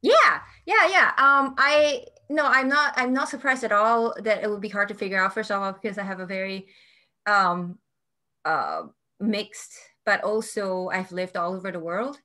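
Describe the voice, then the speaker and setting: A woman in her forties from Malaysia who creates tarot decks, talking in a casual interview with frequent ums and easy laughs. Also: medium pitch. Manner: warm, chatty storytelling with frequent ums and quick laughs. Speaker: tarot deck creator and artist.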